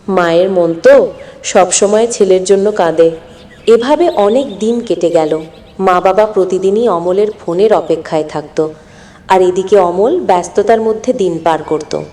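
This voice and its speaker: A woman's voice: medium pitch, a little slow, dark tone. A woman narrating a scripted moral story in an earnest, dramatic storytelling manner. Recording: long hall-like reverb.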